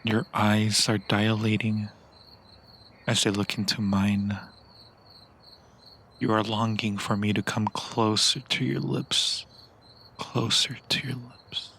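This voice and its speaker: Slow, creaky seductive vampire played by a man. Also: lowish voice, flat delivery, sharp tone, slight background noise, exaggerated voice. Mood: seductive, possessive. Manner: slow, romantic, theatrical, lingering pauses. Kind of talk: intimate ASMR roleplay, improvised monologue.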